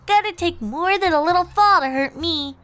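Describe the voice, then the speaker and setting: Squeaky, klutzy cartoon pegasus mailmare. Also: female voice, moderate pace, fairly bright tone. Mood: cheerful, scatterbrained. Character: klutzy, cheerful, scatterbrained female pegasus mail carrier.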